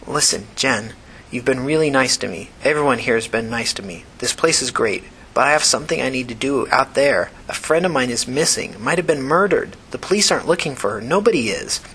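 A man voicing a tired, anxious but defiant young woman, with an urgent, halting manner. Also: medium pitch, animated, a bit bright, slight background noise. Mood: weary, guarded.

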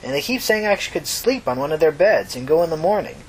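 A talkative old city woman from a storybook, voiced by a man, tired and solemn yet emphatic. The pitch sits high and moves around a lot in a folksy, earnest delivery, over faint noise and rumble. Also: animated, a little fast, lightly acted, low-quality recording. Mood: insistent, kindly. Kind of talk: dramatised fiction reading.

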